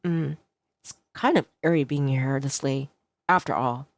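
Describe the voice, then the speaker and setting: Woman putting on a low, composed office rival. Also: measured pace, dry recording. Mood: quiet, uneasy.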